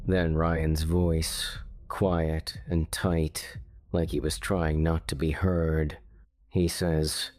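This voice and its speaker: A man narrating a dark true-style mystery story in a slow, measured, ominous way. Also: very deep voice, flat delivery, no room sound. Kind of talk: scripted horror narration. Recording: faint music underneath.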